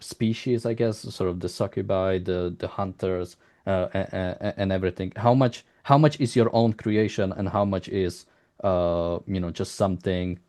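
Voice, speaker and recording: A man conducting a casual interview, talking in a halting, rambling way and repeating words as he searches for phrasing. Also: lowish voice, a little mellow.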